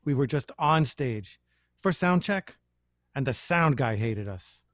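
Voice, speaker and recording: A man's voice: mid-range voice, expressive, takes it slowly, fairly bright tone. A man reading the part of a panicky, pessimistic young band musician, fretting and repeating himself, in a screenplay table read. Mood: panicked.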